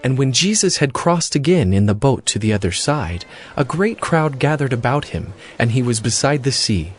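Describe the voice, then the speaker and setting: Man, audio Bible narrator, mid-pitched voice, scripted storytelling, faint music underneath. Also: sing-song, moderate pace.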